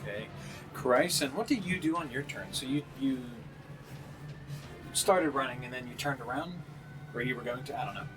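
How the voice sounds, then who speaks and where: A man's voice: medium pitch, animated, moderate pace, pauses often, rough recording. A man casually narrating and running a tabletop game as game master. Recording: music playing behind. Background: music.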